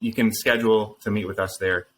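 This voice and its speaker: A man talking earnestly and thoughtfully as a founder guest in an interview, pausing with frequent ums. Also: fairly low pitch, steady tone, fairly bright tone. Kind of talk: casual interview answers.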